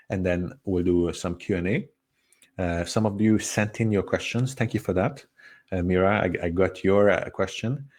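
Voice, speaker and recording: A man's voice: sits low, darkish tone. A man in his thirties with a Hungarian accent tinged with French, a cross-cultural coach presenting a webinar in a friendly, conversational way with frequent self-corrections. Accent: Hungarian with a slight French tinge.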